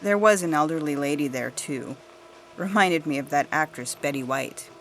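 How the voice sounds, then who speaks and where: A woman's voice: deep voice, moderate pace, fairly bright tone, a little hiss or hum, low-quality recording. A woman playing a self-righteous, suspicious suburban mother, telling her tale in a gossipy, indignant way for an audio story. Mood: smug. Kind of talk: scripted audio fiction monologue.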